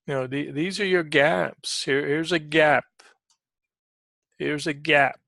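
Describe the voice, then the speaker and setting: A man with a fry-laden, fairly high voice coaches traders in loose, conversational live commentary. He repeats short phrases for emphasis and clears his throat often. The sound is close and dry. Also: fairly even intonation, takes it slowly, bright, forceful tone, no room sound. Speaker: trading coach giving market commentary. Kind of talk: informal live market commentary.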